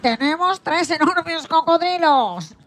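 Villainous hunter for children's radio drama played by a woman, slow, creaky, gloating, faint music underneath. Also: extremely high pitch, expressive, a bit bright, exaggerated voice. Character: gloating poacher hunting crocodiles. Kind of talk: children's radio play acting.

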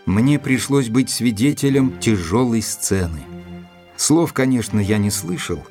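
An actor playing a composed gentleman who narrates a detective story as its loyal observer, in a measured storytelling manner. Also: medium pitch, expressive, soft background music. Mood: composed, watchful. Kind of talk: dramatised audiobook narration.

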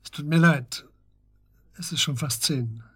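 A man acting a restrained police detective in a naturalistic German radio drama, speaking in a measured, matter-of-fact and slightly hesitant way. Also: fairly high pitch, a bit bright, husky. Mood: grave, subdued. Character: restrained, probing male police detective and old friend.

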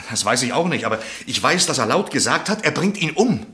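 A man's voice: medium pitch, sing-song, a little fast, sharp tone. An actor playing an agitated, insistent young man who stammers and repeats himself urgently in a German radio play. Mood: insistent, nervous. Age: young adult.

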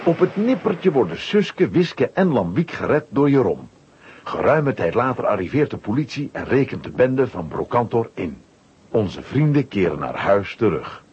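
A man with a husky voice narrating a children's audio story from a script. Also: mid-range voice, big pitch swings, moderate pace, a little mellow, muffled recording, a little hiss or hum.

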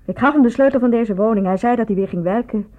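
A woman's voice: fairly high pitch, quick pace, natural voice. An actress in a radio play voicing a lovestruck, self-reproaching provincial woman, speaking in a reflective, confessional manner. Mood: wistful. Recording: thin, phone-like old radio recording.

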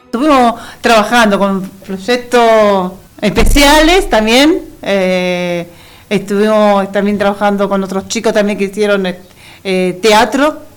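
A woman's voice: medium pitch, measured pace. A woman hosts a community radio programme in a casual way. Her delivery halts now and then as she looks for the next word. Music plays behind her. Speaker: radio co-host.

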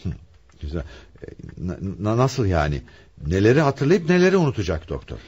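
Homicide commissioner, radio drama, husky voice, very lively intonation, emphatic, probing, authoritative. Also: male voice, on the low side, moderate pace, muffled recording, full character voice. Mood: probing, businesslike. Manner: emphatic, dramatic, questioning delivery. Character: commanding male police homicide commissioner, probing and theatrical. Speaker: actor in a radio play. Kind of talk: Turkish radio theatre acting.

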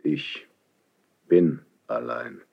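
A man's voice: rumbling low, drawn-out words, gravelly, breathy edge, lo-fi sound, exaggerated voice. A man playing a stern, lonely ageing king in classical verse radio drama, speaking in a solemn, declamatory way. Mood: weary, sorrowful.

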